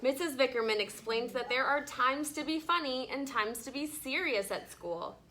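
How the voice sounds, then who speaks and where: A woman's voice: sits high, animated, moderate pace, sharp tone. A woman teacher reading a storybook aloud expressively and leading children through it, firm and instructive. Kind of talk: storybook read-aloud with class discussion. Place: classroom with children present.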